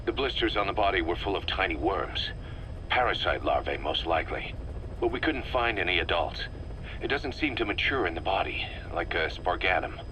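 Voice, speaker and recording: An actor playing a stern, suspicious military commander in a video game, giving briefings in an emphatic, clipped way. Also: male voice, low-pitched, expressive, normal speed, sharp tone, a little hiss or hum, rough recording. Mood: grave, accusing.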